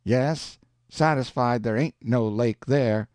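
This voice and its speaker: A man voicing a calm, superior know-it-all boy who lectures in rustic dialect. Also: mid-range voice, slow pace, dry recording, studio quality, lightly acted.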